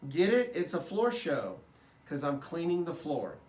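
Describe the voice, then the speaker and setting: A big, jovial night janitor played by a man reading aloud from a children's storybook. He is booming, folksy and playful, pitched a little high, in an echoey room. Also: sing-song, measured pace, darkish tone. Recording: roomy echo, distant microphone.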